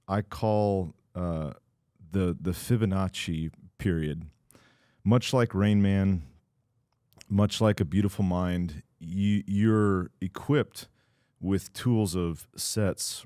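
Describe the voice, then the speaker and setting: A man with a very low, mellow voice hosts a casual podcast. He jokes around with his co-host, then settles into long explanations. The sound is close and dry. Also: no room sound. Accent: American. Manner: playful, teasing, then explains in long stretches.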